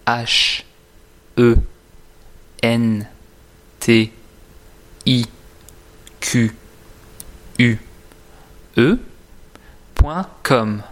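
Slow, deliberate male teacher. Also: medium pitch, fairly bright tone. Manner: deliberate, carefully articulated, didactic. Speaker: French teacher presenting own course. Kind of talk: scripted instructional narration.

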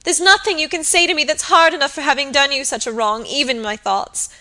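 A woman's voice: high voice, fairly quick, pushed and bright, voice pushed up. A woman voicing a hysterical young servant woman in an audiobook drama, sobbing, gasping and pleading in terror. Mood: sobbing, remorseful.

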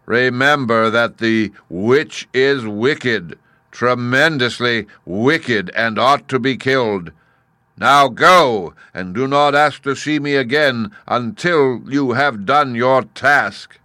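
A man voicing a great, stern wizard who is a giant talking head in a storybook, speaking slowly, emphatically and with command. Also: mid-range voice, bright, forceful tone, no room sound, studio quality, pitched above the performer's own voice. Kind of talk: storybook character voice.

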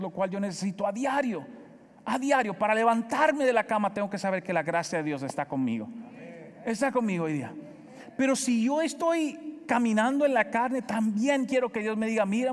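Emphatic Dominican pastor preaching in a hall. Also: male voice, sits high. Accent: Dominican Spanish. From Dominican Republic. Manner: preaching with emphatic repetition, swinging between loud and soft. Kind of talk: sermon.

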